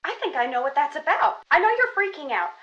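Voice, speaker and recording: A young ghost-hunting woman in a mystery game. Her voice is high and bright and swings widely in pitch. She is theatrical and playful, with a sarcastic, teasing streak. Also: sing-song, quick pace, highs cut off, exaggerated voice. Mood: playful, wry. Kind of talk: scripted game voice acting.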